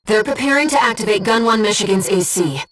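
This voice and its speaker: Game casting: gentle bodiless female operator guiding a pilot, composed, earnest, mid-pitched, music underneath. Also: lively intonation, fast, far from the mic. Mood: composed, briefing. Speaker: mission support operator. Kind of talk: video game mission voice lines.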